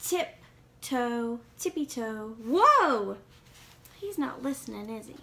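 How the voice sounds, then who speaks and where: A woman's voice: fairly high pitch, sing-song, slow, bright, forceful tone, a little hiss or hum. A woman reading a children's picture book aloud expressively, with questioning asides to young listeners. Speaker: read-aloud reader.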